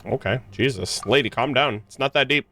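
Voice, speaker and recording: A man's voice: mid-range voice, a little fast, pushed and bright. A man streaming a game with casual, slangy, joking reactions. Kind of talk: casual live game commentary. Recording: game music behind the voice.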